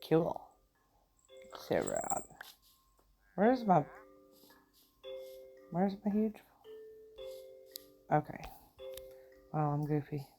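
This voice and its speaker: A woman's voice: low voice, huge pitch swings, very slow, pauses often, dark tone, soft background music. A middle-aged woman with a country accent, chatting and giggling to herself as she plays a game. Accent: Southern US country. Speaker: gamer. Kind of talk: casual gameplay commentary.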